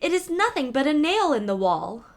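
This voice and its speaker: A woman's voice: sits high, sing-song, normal speed. A woman voicing a scornful, bossy eldest princess in a fairy tale. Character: haughty, dismissive eldest princess, young woman.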